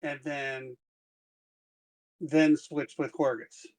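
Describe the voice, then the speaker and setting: Slow, deliberate tabletop player. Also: mid-range voice, flat delivery, darkish tone. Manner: deliberate, thinking aloud. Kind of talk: casual tabletop strategy talk.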